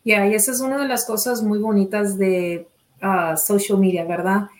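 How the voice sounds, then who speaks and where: A woman's voice: medium pitch, fairly even intonation, moderate pace. A woman whose first language is Spanish, interviewing a guest in a casual, chatty way and dropping in English fillers like "so" and "yeah".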